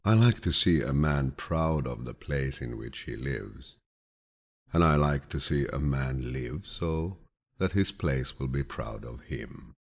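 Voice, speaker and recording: A man with a deep, low voice reads quotations as an audiobook in a calm, measured, grandfatherly way. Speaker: audiobook narrator. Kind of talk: scripted audiobook reading of quotations.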